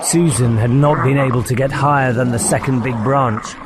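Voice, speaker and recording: A man's voice: medium pitch, steady tone, normal speed, noisy background. A man reading a children's fantasy audiobook as narrator, in a dramatic storytelling style.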